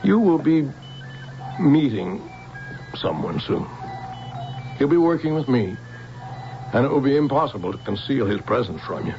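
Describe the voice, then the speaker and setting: An older, protective American scientist in a vintage radio drama. His voice swings expressively and has a gravelly grain, gentle and earnest with hesitant pauses. The recording is dull, like an old broadcast. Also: mid-range voice, sing-song, background noise. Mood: tender. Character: protective older scientist, tender fatherly guardian to a young woman.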